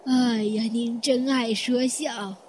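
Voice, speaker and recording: A woman acting in a Chinese audio drama as a courteous, composed elderly hotel guest with a polite, measured way of speaking. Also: a little high, steady tone, darkish tone, a little hiss or hum. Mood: polite, attentive.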